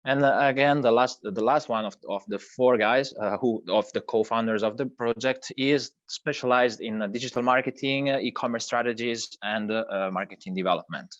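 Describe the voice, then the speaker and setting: A man whose first language is Italian, a project co-founder speaking in an online interview, pausing often with fillers and restarts. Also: mid-range voice, a bit bright, no room sound, highs cut off. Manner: hesitant, many fillers and restarts. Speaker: crypto project co-founder guest.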